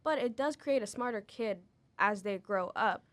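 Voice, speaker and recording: A lively young woman co-hosts a relaxed conversation. Her speech is full of "like" and she laughs easily. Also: mid-range voice. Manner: lively, quick to laugh, heavy use of "like". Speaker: co-host. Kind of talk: casual conversation.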